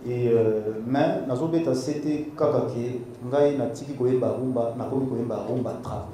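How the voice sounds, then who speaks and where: A middle-aged Congolese man with a Congolese French accent, a singer answering at a press conference, mixing French and Lingala and pausing often with fillers. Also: medium pitch, mellow, slight background noise. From Democratic Republic of the Congo. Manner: hesitant, many fillers. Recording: very echoey room from far off, crowd.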